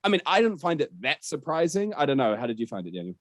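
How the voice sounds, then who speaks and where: A man's voice: a little high, sing-song, quick pace, no room sound, studio quality. A man hosting a casual podcast discussion in a conversational, wry way. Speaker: podcast host.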